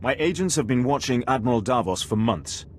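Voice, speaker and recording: A man's voice: mid-range voice, expressive, fairly quick, a little hiss or hum, natural voice. An actor playing a stern, dignified aristocratic male alien base commander in a video game. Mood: grave, measured.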